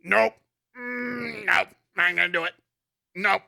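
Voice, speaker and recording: A man with a forceful, bright voice pitched a bit high talks off the cuff on a livestream. He swears freely, leans on slang and sarcasm, and animatedly acts out what imagined people would say. The sound is dry and close. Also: unhurried, vocal fry, no room sound. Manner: profane, slangy. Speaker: live streamer. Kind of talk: casual livestream commentary reacting to chat.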